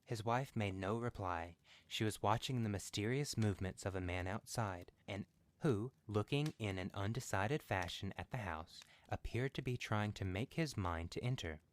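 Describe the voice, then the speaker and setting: A man's voice: on the low side, normal speed. A man narrating a scripted story as a podcast reader.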